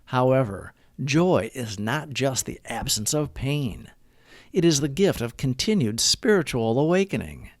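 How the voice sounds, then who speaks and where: Man, earnest measured reading, prayerful, dry room. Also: mid-range voice, big pitch swings, no echo. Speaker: recovery meditation reader. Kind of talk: read-aloud daily devotional reading.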